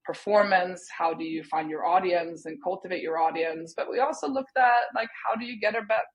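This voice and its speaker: Swedish-born woman, low voice, on a video call. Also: fairly quick. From Sweden. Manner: thoughtful, hedging with "sort of" and "kind of". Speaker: guest. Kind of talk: casual interview talk. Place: home room, indoors.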